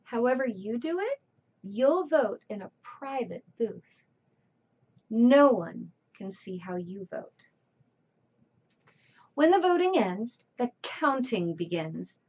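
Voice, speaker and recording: A woman reading a children's storybook aloud with deliberate, theatrical emphasis. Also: a little high, very animated, darkish tone, breathy edge, highs cut off. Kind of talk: expressive read-aloud narration.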